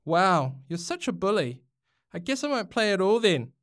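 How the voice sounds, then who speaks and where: Man voicing high-pitched, indignant young schoolgirl. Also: animated, unhurried, fairly bright tone. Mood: defiant. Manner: indignant, plaintive. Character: young schoolgirl, defiant, upset by unfairness.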